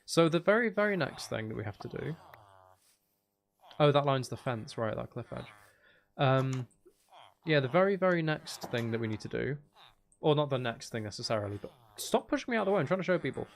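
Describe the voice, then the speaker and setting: A man's voice: medium pitch, big pitch swings, fairly quick, vocal fry. A man giving casual, unscripted gameplay commentary as a video host, thinking aloud and trailing off mid-sentence.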